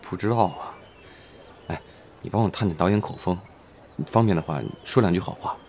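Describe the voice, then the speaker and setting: A man's voice: low-pitched, normal speed, a little mellow, slight background noise, close to an everyday voice. A man acting a polite, bewildered, modest actor in an audio drama, in a natural manner. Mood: puzzled, resigned.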